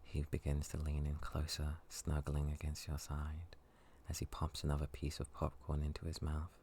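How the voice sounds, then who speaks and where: A man softly narrating an intimate story as an ASMR narrator. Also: extremely low pitch, monotone, normal speed, a little hiss or hum.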